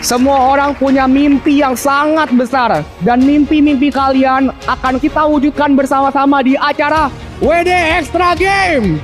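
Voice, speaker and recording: A man hosting a game show, energetic and slangy, calling out contestants loudly. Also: very high voice, normal speed. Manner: hyped, slangy, calls out names loudly. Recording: music under the voice. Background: music.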